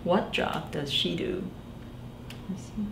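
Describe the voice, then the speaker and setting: A woman in her forties, a children's librarian, reading a picture book aloud in a gentle sing-song way and asking the children to guess. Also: on the low side, slow, gravelly, roomy sound, slight background noise. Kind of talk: storytime read-aloud for young children. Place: small library room indoors.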